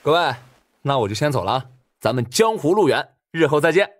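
A man's voice: fairly high pitch, big pitch swings, slight background noise. A man playing a glib, chummy young casino worker in an audiobook drama, ingratiating and chatty. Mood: flattering, jovial. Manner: ingratiating, chatty, drawn-out particles. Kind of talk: Mandarin audiobook drama acting.

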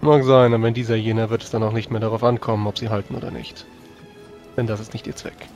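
A man's voice: fairly low pitch, flat delivery, quick pace, a little mellow, close to an everyday voice. A man playing a blunt, impatient commander in a fantasy tabletop roleplay, speaking curtly and giving orders. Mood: irritated. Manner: curt, commanding. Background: background music.

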